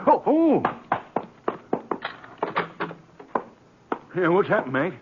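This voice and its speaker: An actor playing a folksy, blustering small-town banker with a rural Western American drawl in an old radio western. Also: male voice, high-pitched, very animated, a little fast, background noise. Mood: disbelieving. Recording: tinny vintage radio broadcast recording.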